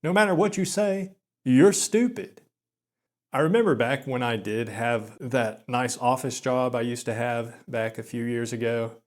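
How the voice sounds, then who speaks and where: A young man with a mild Southern drawl talks slowly in a flat, monotone voice. He stammers and leans on filler words in a resigned, gloomy monologue. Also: medium pitch. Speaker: video essayist. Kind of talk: self-deprecating monologue.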